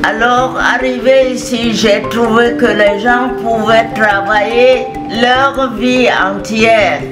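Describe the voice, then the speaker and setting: An older, retired woman from Cameroon introducing herself informally as a community elder, speaking deliberately phrase by phrase. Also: on the high side, fairly even intonation. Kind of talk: informal spoken self-introduction. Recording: roomy, distant mic, clear music behind.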